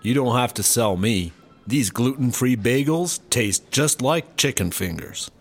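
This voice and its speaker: A man's voice: medium pitch, animated, unhurried. A man playing a dutiful, hesitant new employee who worries about the rules, in a comedy audio drama. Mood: easygoing. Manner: hesitant, self-correcting. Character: anxious, dutiful male new employee, hesitant rule-follower. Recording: faint background ambience noise.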